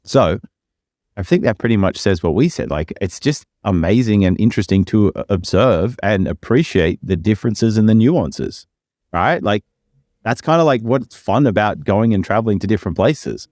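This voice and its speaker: Male podcast co-host, low voice, deadpan note-reading and loose banter, dry close sound. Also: moderate pace, dry recording. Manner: reads text aloud stiffly with slips, then relaxed and joking. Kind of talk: reading a definition aloud, then casual chat.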